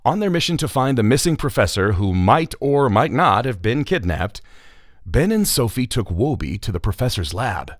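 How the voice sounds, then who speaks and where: Guy doing a dramatic kids podcast narrator, kinda exasperated, really lively, close dry recording. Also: medium pitch, normal speed, dry recording. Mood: suspenseful. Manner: theatrical, exasperated. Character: theatrical, easily exasperated male storyteller narrator. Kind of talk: scripted children's story narration.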